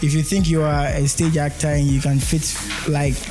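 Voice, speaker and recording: A man's voice: fairly high pitch, little pitch movement, a little fast. A man, an actor giving answers in an interview. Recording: loud hip hop music behind.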